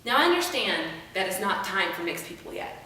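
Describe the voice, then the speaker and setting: A young adult woman performing a rehearsed spoken-word monologue with emphatic, theatrical delivery and deliberate pauses. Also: very animated, sharp tone. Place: room without an audience. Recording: echoey room, distant mic, rumbling noise.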